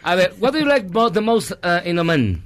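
A man's voice: high-pitched, very animated, a little fast, very noisy. A Mexican man, a native Spanish speaker, hosting and interpreting a casual, playful interview with joking banter. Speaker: radio show host and interpreter.

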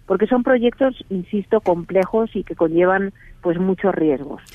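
A middle-aged woman with a Spain Spanish accent answers questions over the phone. Her delivery is earnest and measured, often restarting a phrase before she continues. The sound is thin and tinny, like a phone line, and dry. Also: medium pitch, no room sound. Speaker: guest, TV production company head. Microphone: telephone.

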